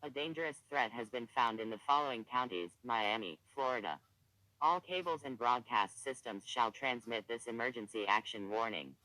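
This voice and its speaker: A man's voice: medium pitch, sharp tone, no echo, low-quality recording. A synthetic male voice reading a formal, scripted emergency broadcast announcement as an alert announcer.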